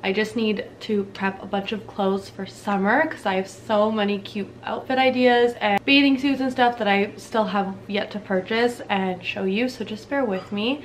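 A woman's voice: medium pitch, slight background noise. A woman in her twenties from Canada, chatting casually to camera in a bubbly, slangy way in a small dim room. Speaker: beauty vlogger.

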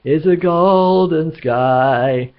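A man's voice: mid-range voice, moderate pace, slightly rough, muffled recording. A man voicing a cheery, chummy male ship's computer that whines nasally when it sings. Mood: crooning. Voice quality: nasal, whining when singing. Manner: chummy, calls everyone guys. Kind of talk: audiobook reading.

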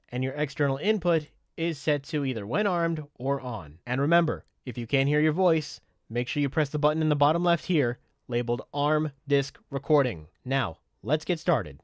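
A man giving a scripted software tutorial in a methodical, instructional way, as a voice-over on a close microphone. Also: on the high side, no echo. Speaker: tutorial presenter.

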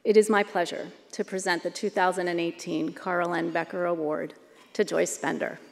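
A woman's voice: fairly low pitch, flat delivery, moderate pace, gravelly. A middle-aged woman at a podium, steadily reading a prepared award introduction. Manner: reads prepared text steadily. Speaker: award presenter. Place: large banquet hall stage. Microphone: podium microphone. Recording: long hall reverb.

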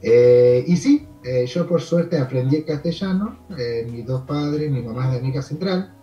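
A Canadian man whose first language is French talks with an Argentine accent, his voice sitting in a middle register. He tells long stories in a loose, rambling way, with many small pauses and fillers. The sound comes through an echoey room, and soft music plays behind him. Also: medium pitch, expressive, lo-fi sound. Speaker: immigration consultant. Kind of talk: interview over video call.

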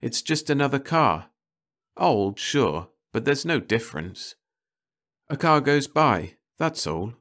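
A man's voice: mid-range voice, big pitch swings, slow, a bit bright, pitched above the performer's own voice. A man voicing an uneasy car-shop worker in an audiobook, nervously reassuring himself. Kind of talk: audiobook character voice.

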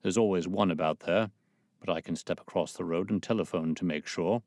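A man's voice: sits low, fairly quick, darkish tone, dry recording, clean studio sound. A man voicing a bluff, hearty, self-important police officer with an emphatic manner, in an audiobook. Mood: businesslike.

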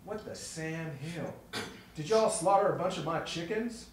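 A man's voice: a little high, measured pace, slight background noise, voice pushed up, close to an everyday voice. A man voicing a blunt, suspicious country man. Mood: incredulous. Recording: echoey room, mic far off.

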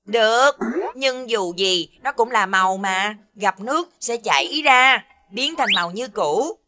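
A woman voicing a gentle magical child friend in an animated series, speaking in a cute, measured way. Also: medium pitch. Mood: cheerful.

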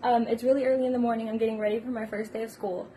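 A woman's voice: on the high side, little pitch movement, rapid-fire, warm tone, a little hiss or hum. A young woman vlogging casually from her bedroom, talking into a phone held at arm's length, rambling with fillers and giggling. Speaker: book vlogger. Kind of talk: casual vlog chat. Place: small bedroom.

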